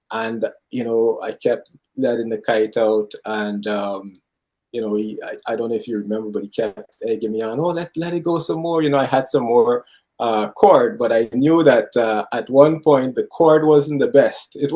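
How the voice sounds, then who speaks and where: A man's voice: mid-range voice, darkish tone. A man from Guyana telling a childhood story in an easygoing way, with frequent fillers. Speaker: guest. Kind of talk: casual chat, storytelling.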